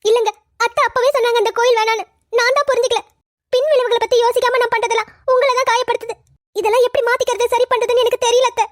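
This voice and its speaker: A woman's voice: very high-pitched, flat delivery, very fast. A woman playing a devoted young daughter-in-law, speaking earnestly and pleadingly in a melodramatic drama serial. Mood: remorseful.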